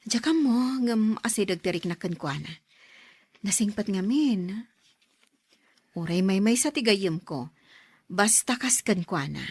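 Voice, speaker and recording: An actress playing an outspoken, caring sister in an Ilocano radio drama. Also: female voice, mid-range voice, lively intonation, unhurried, pauses often, a little mellow, breathy edge, no echo. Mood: teasing, protesting. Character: outspoken, caring sister who scolds her brother.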